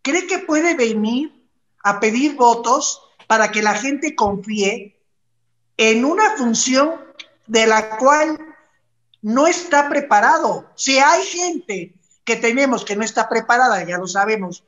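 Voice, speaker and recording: Slow, emphatic Mexican woman, roomy sound. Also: a little high, expressive, a bit bright. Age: middle-aged. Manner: emphatic, blunt, swears casually. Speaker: entertainment show panelist. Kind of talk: opinionated celebrity commentary. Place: bright room at home. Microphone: video call, device at arm's length. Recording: roomy, slightly dull video call audio.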